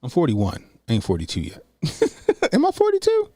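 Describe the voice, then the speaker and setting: A man's voice: medium pitch, wildly swooping pitch, normal speed, warm tone, dry recording. A man, chatting loosely and profanely while reacting to a video. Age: 40s. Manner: loose, profane, rambling with slang. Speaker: commentary streamer. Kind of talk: casual reaction stream.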